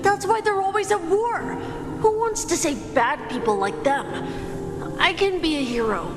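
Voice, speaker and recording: A stubborn little village boy with a high voice that leaps up and down in a game cutscene. He talks in a childlike, pleading way. A long reverb trails each line under loud music. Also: lively intonation, normal speed.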